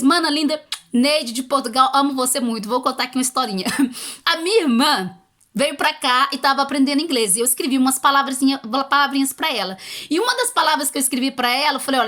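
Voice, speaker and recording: A woman's voice: high-pitched, sharp tone. A Brazilian woman vlogger giving casual motivational advice in an encouraging, conversational, emphatic way, with a Brazilian accent. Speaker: vlogger giving language-learning tips.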